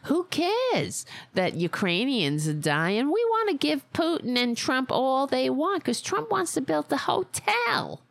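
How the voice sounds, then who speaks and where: A brash older widow from Staten Island, as a woman puts her on, with a thick Staten Island accent. She drawls slowly in a gravelly voice that swoops up and down. The sound is close and dry. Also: high-pitched, very animated, no room sound, voice pushed up, heavily acted. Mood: mocking. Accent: Staten Island, New York. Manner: sarcastic, exaggerated, mocking persona. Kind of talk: satirical character monologue.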